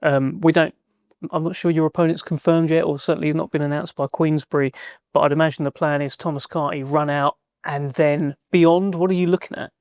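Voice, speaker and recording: A man's voice: a little high, fairly even intonation, brisk, a little mellow, clear, resonant tone, no room sound, clean studio sound. A man interviewing a boxer, asking friendly, prompting questions. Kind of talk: sports interview.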